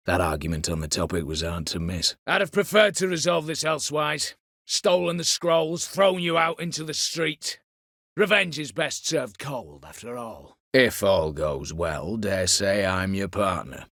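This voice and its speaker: A man's voice: medium pitch, pushed and bright, no room sound, studio quality, heavily acted. An actor voicing a scheming, vengeful disinherited nobleman turned heist leader in a video game, with a colloquial, wry, conspiratorial way of talking. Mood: calculating. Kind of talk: video game character dialogue.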